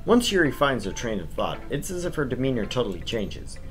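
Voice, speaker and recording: Male stream host, informal and jokey, reading and chatting at medium pitch over background music. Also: sing-song, brisk. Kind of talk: casual chat and visual novel read-aloud.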